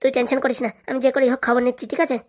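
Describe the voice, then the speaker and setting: Brash, enterprising young guy for a comedy cartoon, played by a woman: high-pitched, extremely fast, chatty. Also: level tone, lightly acted. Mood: reassuring. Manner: chatty, colloquial. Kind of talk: comic cartoon dialogue.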